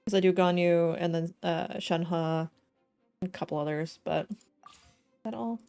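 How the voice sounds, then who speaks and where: A woman's voice: lowish voice, normal speed, creaky, soft background music. A woman chatting casually and expressively while live streaming a game. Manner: giggly, expressive.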